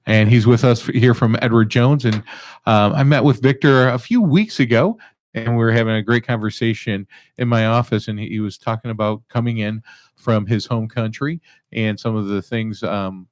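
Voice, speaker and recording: A man's voice: lowish voice, steady tone, normal speed, no echo. Dry-sounding male interview host. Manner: rambling, fillers. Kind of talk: hosted interview show.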